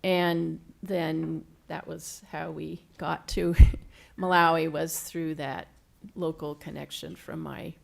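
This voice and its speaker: A woman in her sixties from Washington State speaks on a panel, reflective and measured. Her voice is heavily gravelly, fairly low, and very flat in pitch. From Washington State, USA. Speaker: panelist, researcher. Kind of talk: panel discussion.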